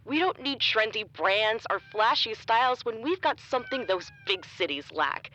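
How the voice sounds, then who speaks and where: An actress playing a gushing, coy, falsely modest small-town mayor in a 1980s radio interview within an audio comedy. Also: female voice, on the high side, animated, moderate pace, bright, forceful tone, poor audio. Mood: folksy speechifying. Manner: gushing, coy politician delivery.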